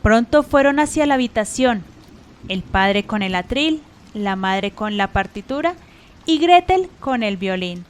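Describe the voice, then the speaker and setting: A woman's voice: medium pitch, lively intonation, unhurried. A woman reading scripted narration as the storyteller of a radio drama.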